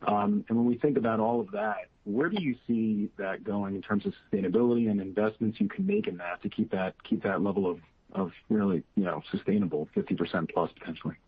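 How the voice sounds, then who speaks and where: A man's voice: lowish voice, lively intonation, brisk, breathy edge. Male analyst on a thin phone line. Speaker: financial analyst asking a question. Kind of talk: conversational business questioning.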